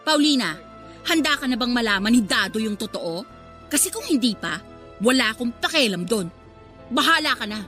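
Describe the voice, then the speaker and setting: A brazen, spiteful other woman with a high, forceful, bright Filipino voice. Her delivery is sassy and taunting radio melodrama, with faint music underneath. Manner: sassy, goading, insolent. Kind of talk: Filipino radio drama acting. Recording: faint music bed behind the voice.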